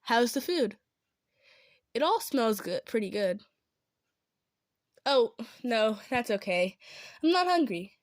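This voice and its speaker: A woman playing a kind, modest female centaur in an improvised roleplay, speaking gently and earnestly with some stammering. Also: fairly high pitch, sing-song, slow, long pauses, no echo, studio quality. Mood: caring, upbeat. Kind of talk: improvised roleplay audio drama, addressed to listener.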